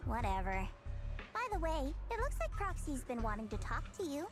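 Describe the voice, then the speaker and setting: A woman's voice: high voice, very animated, moderate pace. A woman playing a blunt, matter-of-fact young woman with a cool temper in an anime-style video game. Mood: curt, aloof. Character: blunt, cool young woman who hides her concern. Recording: music behind the voice.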